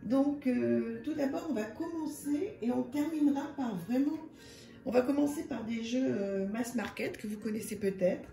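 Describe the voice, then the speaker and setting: A slightly hoarse woman hosting her own channel, reviewing card decks in a soft, hesitant way full of fillers. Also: high voice, moderate pace, roomy sound, soft background music. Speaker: tarot and oracle channel host. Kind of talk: casual card deck review.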